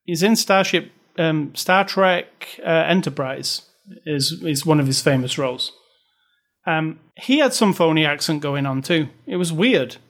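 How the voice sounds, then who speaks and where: A young British man talking films with a co-host, wry and opinionated as he picks at bad accents. His voice sits a little high for a man, and his complaints come out animated and emphatic. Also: unhurried. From Britain. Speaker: podcast co-host. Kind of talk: casual film review chat.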